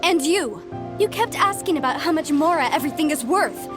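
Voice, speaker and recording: A woman's voice: sits high, animated, fairly quick. A woman voicing an earnest female historian who speaks in a sharply reproachful way. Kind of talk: game voice acting. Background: game music.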